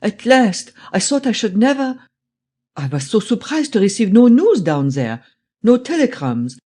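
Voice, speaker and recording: A man voicing an anxious, excitable old German traveller with a German accent, in an audiobook. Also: sits high, measured pace, slightly rough, voice pushed up, heavily acted. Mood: delighted. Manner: stammering, spluttering, agitated. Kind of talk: audiobook character voice.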